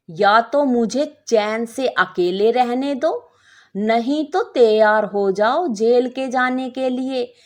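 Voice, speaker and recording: A scheming young married woman from a story, acted out by a female narrator. She speaks slowly and knowingly, with condescending persuasion. Her slightly high voice swings in animated intonation.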